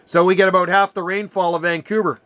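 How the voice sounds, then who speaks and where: High-voiced man in his sixties. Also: little pitch movement, fast talker, very noisy. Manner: friendly, chatty, uses slangy "man". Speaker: amateur radio operator. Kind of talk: casual two-way radio chat. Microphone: desk radio microphone, close.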